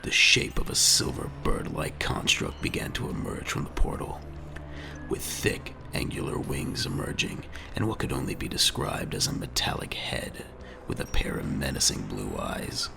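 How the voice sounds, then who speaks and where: Very deep, gravelly man narrating dramatically. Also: huge pitch swings, normal speed. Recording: music bed under the voice.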